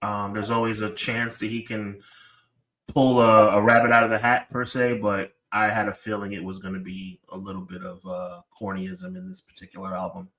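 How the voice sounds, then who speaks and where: A man's voice: on the low side, little pitch movement, normal speed, pushed and bright, rough recording. A man co-hosting a live wrestling podcast, chatting in slangy, rambling banter. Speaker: podcast co-host. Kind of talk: casual live-stream chat.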